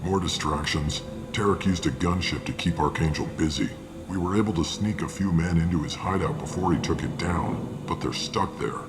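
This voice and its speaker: Man playing a very deep-voiced gruff mercenary. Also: moderate pace, over music, close to an everyday voice. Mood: matter-of-fact. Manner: blunt, matter-of-fact. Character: gruff male mercenary briefing hired guns, weary and matter-of-fact. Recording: clearly noisy game ambience behind.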